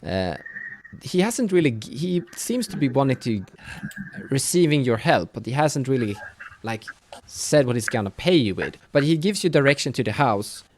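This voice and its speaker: A man working as game master, improvising narration for a tabletop fantasy game in a halting way, searching for words. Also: mid-range voice, very animated, slight background noise.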